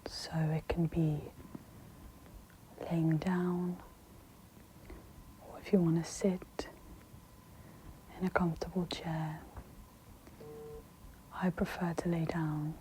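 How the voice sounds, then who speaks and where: A woman hosts a guided ASMR meditation in a soft, breathy whisper. Her delivery is very slow and soothing, with a dark, mellow tone. A faint noise sits behind the close recording. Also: on the low side, rough recording. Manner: soft, gentle, soothing instruction.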